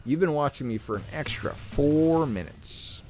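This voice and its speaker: A man hosting a gaming channel, talking casually through a game while playing, clearing his throat as he is losing his voice. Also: fairly high pitch, a little slow, slight background noise. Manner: relaxed, thinking aloud while playing. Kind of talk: casual gameplay commentary.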